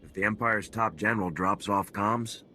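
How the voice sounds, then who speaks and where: A wry, confident special-forces major in a sci-fi game. His voice sits fairly low with a hard, forceful edge. He talks in terse military phrases over faint ambience. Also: normal speed, a little hiss or hum. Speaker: soldier, squad leader. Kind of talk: scripted video game dialogue.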